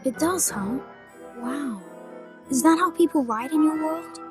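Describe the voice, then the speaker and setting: A woman's voice: high-pitched, unhurried, over music. A woman voicing an innocent, polite young boy hero with an American accent in a fantasy game. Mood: amazed. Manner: naive, questioning, earnest. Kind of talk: animated fantasy game voice acting.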